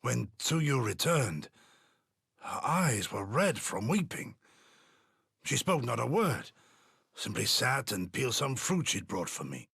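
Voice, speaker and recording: An actor playing a gruff, protective old samurai retainer in a dramatic game scene, with a gravelly, husky voice and formal, weighty phrasing. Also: male voice, medium pitch, very animated, takes it slowly, fairly bright tone, no room sound, clean studio sound, full character voice. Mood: somber, concerned.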